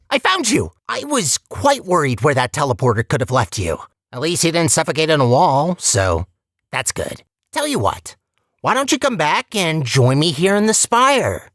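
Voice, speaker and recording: A man's voice: fairly high pitch, a bit bright, no room sound, clean studio sound, heavily acted. A man voicing a talkative robot core in a video game, a petty, scheming villain with a sarcastic, theatrical and condescending manner. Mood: smug. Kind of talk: video game character acting.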